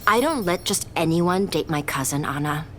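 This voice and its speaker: A woman's voice: deep voice, lively intonation, normal speed, fairly bright tone, slight background noise. A woman playing a betrayed, blunt young woman in a romantic audio drama, sarcastic and accusing. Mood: bitter, hurt.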